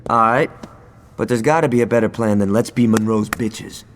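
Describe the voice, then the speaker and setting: A man's voice: fairly low pitch, moderate pace, a little hiss or hum, lightly acted. An actor playing a hot-headed, trigger-happy male gangster in a video game, brash and sarcastic, in an echoing space. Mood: sardonic, eager. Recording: long echoing hall reverb.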